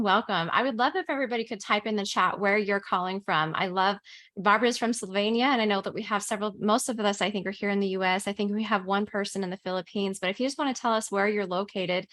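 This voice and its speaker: A woman's voice: medium pitch, steady tone, brisk, fairly bright tone. Woman, from the US, webinar host, upbeat, enthusiastic, video call. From United States. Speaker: business coach, webinar host. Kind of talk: hosting a live webinar.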